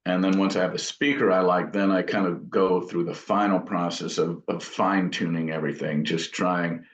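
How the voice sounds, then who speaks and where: A man's voice: low-pitched, fairly even intonation, normal speed. A man giving loose, meandering explanations in a casual interview as the guest. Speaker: guitar amp builder and guest. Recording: dull, roomy, echoing audio.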